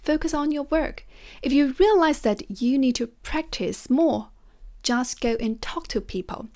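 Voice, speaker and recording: A woman's voice: high voice, expressive, measured pace, mellow. A woman whose first language is Chinese, talking straight to her viewers in an earnest, encouraging way about learning languages. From China. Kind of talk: personal vlog monologue.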